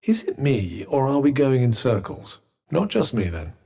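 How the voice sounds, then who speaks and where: A man's voice: medium pitch, wildly swooping pitch, moderate pace, vocal fry, mellow, husky, heavily acted. A male actor voicing a haughty, erudite magical cuff in a video game, with a British accent and a dry, sarcastic, pompous manner. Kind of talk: video game companion dialogue.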